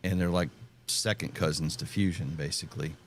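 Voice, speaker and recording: A man's voice: low voice, steady tone, brisk, slight background noise. A middle-aged American man in casual conversation, explaining things in a folksy, plain-spoken way. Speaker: podcast panelist and ham radio hobbyist.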